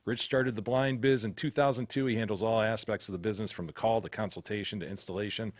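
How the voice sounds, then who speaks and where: Man, low voice, dry close mic, teasing deadpan jokes. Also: fast, no room sound. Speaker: podcast co-host. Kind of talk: casual chat and host-read ad.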